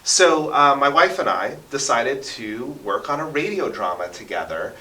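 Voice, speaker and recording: Guy telling local history stories, punchy bright voice, keeps checking in with "right?", roomy and distant from mic. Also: medium pitch, lively intonation, moderate pace, a little hiss or hum. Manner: emphatic, often checks in with "right?". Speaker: interview guest, radio drama producer and local history enthusiast. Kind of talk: animated conversational storytelling. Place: radio studio. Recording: roomy, echoing, away from the mic.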